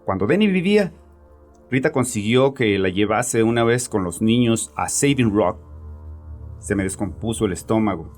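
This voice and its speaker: A man's voice: lowish voice, expressive, normal speed, darkish tone, faint music behind, natural voice. A man playing a bitter, domineering grieving father who confides in a therapist with agitated, sarcastic arrogance in a horror audio drama. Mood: agitated, self-justifying.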